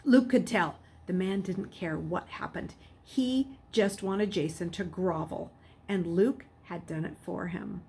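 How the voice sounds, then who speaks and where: A woman giving an expressive audiobook narration, reading a suspenseful story aloud as its narrator. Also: lowish voice, unhurried, husky.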